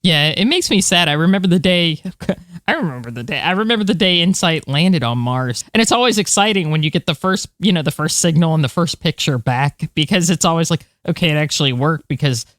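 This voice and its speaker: A woman's voice: sits low, expressive, a little fast, no echo. A woman co-hosting a podcast, talking through science news in a conversational way. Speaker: podcast co-host.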